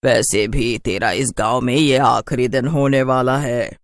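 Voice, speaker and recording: A woman's voice: rumbling low, fairly even intonation, a little slow, dry recording. A woman playing a haughty, mocking city witch in a horror cartoon, with a taunting drawl.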